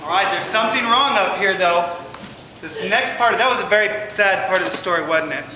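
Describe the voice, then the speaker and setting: A man's voice: sits high, brisk. A man presenting at a church, talking informally to an audience. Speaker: presenter at a church puppet show. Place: church hall. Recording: echoey noisy hall.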